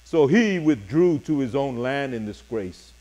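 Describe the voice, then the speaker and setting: A middle-aged man preaching a sermon as pastor, reading scripture and speaking deliberately in short, paused phrases. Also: mid-range voice, lively intonation, warm tone. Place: church sanctuary, speaking from a pulpit on stage.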